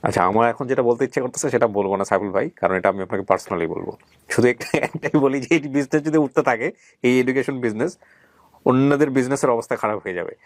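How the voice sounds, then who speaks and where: A Bangladeshi man in middle age hosts an interview in a conversational, emphatic way. His voice sits at an ordinary pitch and is recorded very dry. He moves between questioning guests and addressing viewers, peppering his talk with English words. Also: quick pace, dry recording. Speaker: interview host.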